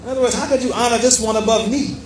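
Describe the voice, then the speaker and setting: A man's voice: extremely high pitch, rapid-fire. A man giving an Islamic religious lecture as a teacher, explaining a text emphatically and repeating key phrases for stress as he addresses his listeners. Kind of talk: religious lecture, reading aloud from a book and explaining it. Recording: echoey room with faint background noise.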